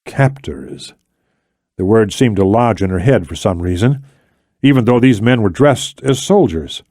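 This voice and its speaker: A man's voice: fairly low pitch, expressive, a little slow, a little mellow, dry recording. Man narrating a thriller audiobook, dry sound. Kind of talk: scripted thriller novel narration.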